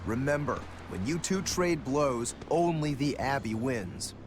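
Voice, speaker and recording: An actor playing a calm, cautioning warrior man in a video game. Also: medium pitch, a little slow, music in the background, natural voice. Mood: calm warning.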